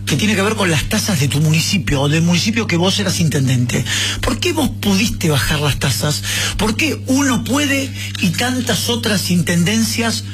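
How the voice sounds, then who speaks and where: A man's voice: high-pitched, lively intonation, normal speed, a bit bright, soft background music. A man with an Argentine Rioplatense accent hosting a radio interview, chatty and telling personal anecdotes. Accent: Rioplatense Spanish. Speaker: radio host.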